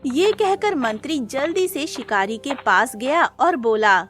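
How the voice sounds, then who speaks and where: Slow-paced woman storyteller. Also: high-pitched, animated, fairly bright tone. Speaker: narrator. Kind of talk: scripted children's-style story narration. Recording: music under the voice.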